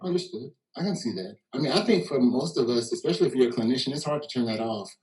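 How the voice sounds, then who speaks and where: A man's voice: medium pitch, lively intonation, fast, darkish tone, rough recording. A man with a heavy Louisiana and Texas Southern accent, hosting a panel in a loose, joking way full of slang. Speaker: host. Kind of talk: casual hosted chat.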